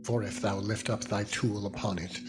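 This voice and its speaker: A man voicing God as a solemn, commanding lawgiver in a scripture drama, stately and deliberate. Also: lowish voice, fairly even intonation, close to an everyday voice. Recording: background music under the voice.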